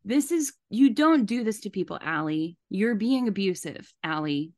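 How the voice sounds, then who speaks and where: A woman's voice: mid-range voice, animated, moderate pace, a little mellow. A woman co-hosting a podcast, giving indignant, emphatic commentary and often repeating phrases for weight. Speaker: podcast co-host.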